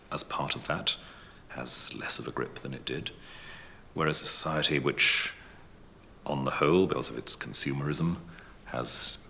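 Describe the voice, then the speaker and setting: A man with an extremely low, gravelly voice answers an interview in a reflective, considered way. His tone is bright and tense, and a long reverb hangs in the room around him. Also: slight background noise. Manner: thoughtful, considered phrasing.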